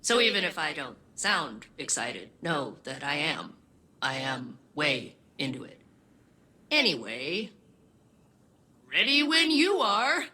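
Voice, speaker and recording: A woman voicing a quirky, eager, helpful female ship AI in a video game, chirpy, robotic and expressive. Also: low voice, takes it slowly, husky, heavily acted. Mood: flat, sincere.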